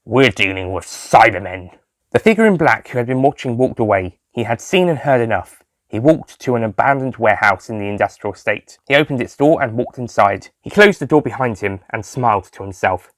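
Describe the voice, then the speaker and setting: Man, medium pitch, scripted story narration, dry close sound. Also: animated, brisk, few pauses, dry recording. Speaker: author and narrator.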